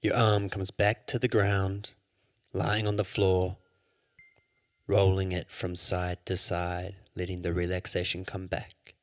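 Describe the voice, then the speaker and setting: Slow, low-voiced man guiding meditation. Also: completely flat delivery, no room sound, studio quality. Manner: soothing, measured instruction. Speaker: yoga nidra instructor. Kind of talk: guided meditation.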